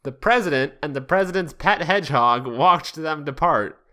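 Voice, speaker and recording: Bright-voiced man reading fan fiction. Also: fairly high pitch, animated, normal speed. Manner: often breaks into laughter, stumbles over words. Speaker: podcast host. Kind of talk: casual comedic reading aloud of fan fiction.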